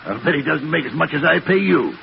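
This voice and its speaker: A man's voice: fairly high pitch, lively intonation, quick pace, gravelly. A man acting in an old-time radio drama as a haughty, wealthy, self-justifying businessman with a pompous, clipped, imperious manner. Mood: scornful, defensive. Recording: muffled, hissy old radio recording.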